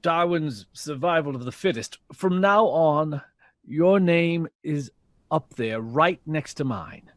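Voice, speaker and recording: A proud 1960s bandleader in an audio drama, earnest and pleading. His delivery is impassioned and widely varied in pitch, recorded dry with little room around it. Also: lively intonation, slow pace, no echo. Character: proud, pleading male big band leader in the 1960s.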